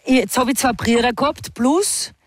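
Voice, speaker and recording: A woman's voice: a little high, moderate pace, dry recording. Chatty woman, laughing often. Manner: laughing, chatty, many fillers and restarts. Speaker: singer, interview guest. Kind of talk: casual interview chat.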